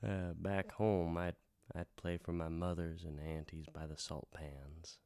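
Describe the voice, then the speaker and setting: A man playing a weary, gentle, wry wandering bard who tells tales in an unhurried, musing way with trailing pauses, in the style of a radio drama. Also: low-pitched, flat delivery, smooth, no echo, studio quality. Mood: wistful, wry. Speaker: host and bard of a radio hour. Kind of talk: in-character radio drama storytelling.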